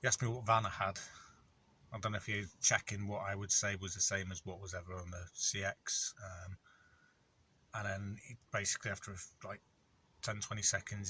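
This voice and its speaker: Rambling man, bright voice. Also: on the low side, slight background noise. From North Wales. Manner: rambling, matter-of-fact storytelling with many ums. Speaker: van courier vlogger. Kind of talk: casual vlog chat. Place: in or beside his van on an industrial estate.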